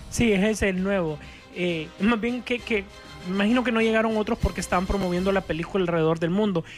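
A man's voice: sits high, fast talker. A man with a Honduran accent hosting a film radio show in a casual, chatty way, often repeating words as he goes. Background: background music.

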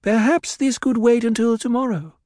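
A man's voice: very high-pitched, steady tone, normal speed, a little mellow, dry recording, clean studio sound, pitched above the performer's own voice. A man voicing a nervous male court doctor who speaks hesitantly and apologetically. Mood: deferential.

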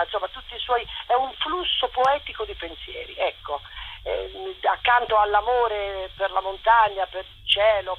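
A woman interviewed on radio as a theatre director, talking effusively in a halting, digressive storytelling way. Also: medium pitch. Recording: dull, noisy radio phone line.

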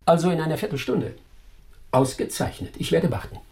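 An actor playing a shrewd, composed gentleman detective in a radio drama, speaking formally and with insistent authority. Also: on the high side, animated, fairly quick, vocal fry, music in the background. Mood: brisk, composed. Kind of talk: German radio drama acting.

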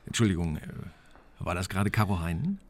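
An actor playing an earnest police colleague in a radio drama, speaking hesitantly and a little awkwardly. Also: male voice, low voice, fairly quick, faint music behind. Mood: hesitant, admiring. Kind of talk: naturalistic radio drama acting.

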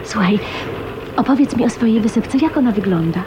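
An actress playing a shy but eager young bride in a radio drama, nervous and earnest, often repeating words hesitantly. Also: female voice, fairly high pitch, lively intonation, brisk, close to an everyday voice. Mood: dazed, curious. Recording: slightly dull, noisy 1980s radio recording.

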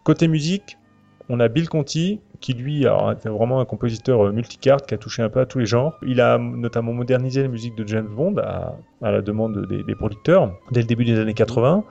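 A man's voice: mid-range voice, fairly quick, mellow. A man hosts a semi-prepared film discussion podcast, often hesitating as he searches for words. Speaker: podcast host. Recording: dull lo-fi audio, music behind. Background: clear background music.

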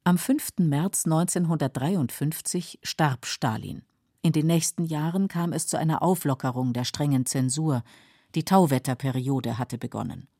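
A woman with a husky voice giving sober, measured scripted documentary narration in a radio studio. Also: sits low, dark tone, no room sound.

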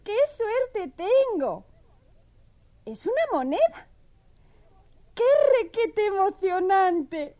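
A woman's voice: extremely high pitch, dark tone, exaggerated voice. A woman voicing a vain, coquettish young female mouse in a children's audio story, with an exclamatory, theatrical delivery. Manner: exclamatory, self-admiring, theatrical.

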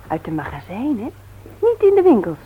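A woman's voice: fairly high pitch, huge pitch swings, normal speed, phone-quality sound, heavy background noise. An actress playing a playful but earnest, devout wife and mother in a naturalistic radio drama. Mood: interested. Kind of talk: naturalistic Dutch radio play acting.

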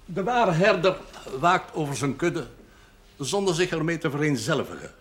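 A man in his sixties playing a stern, erudite village pastor in a period TV drama, measured, pompous and sardonic. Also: a little high, very animated, husky. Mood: solemn, lecturing. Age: 60s. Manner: pompous, measured, sententious, slipping into French and Latin. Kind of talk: television period drama acting.

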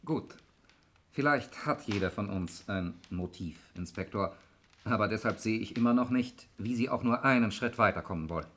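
A man's voice: lowish voice, expressive, normal speed, fairly bright tone, slight background noise. A man playing a stubbornly unconvinced nephew in a 1960s radio crime drama, objecting insistently. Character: doubting nephew named Erik, stubbornly unconvinced.

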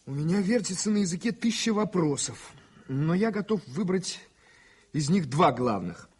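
An actor playing a curious, politely probing gentleman in a radio play, with a husky voice. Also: high-pitched, sing-song, normal speed, heavily acted. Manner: inquisitive, courteous. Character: curious, politely probing male social acquaintance. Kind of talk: 1980s radio play acting.

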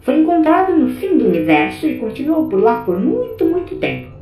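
A woman's voice: high voice, huge pitch swings, fairly quick, full character voice. A woman playing a formal informant who gives expository briefings in an audio drama. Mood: grave, informative. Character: formal female informant briefing a Time Lord. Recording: roomy echo under loud music.

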